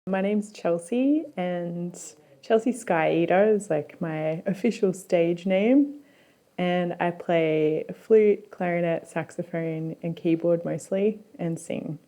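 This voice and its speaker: A woman's voice: on the low side, slow pace, dark tone. A young Australian woman from Queensland talking in a documentary interview in a chatty, playful way, full of "like". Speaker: multi-instrumentalist band member.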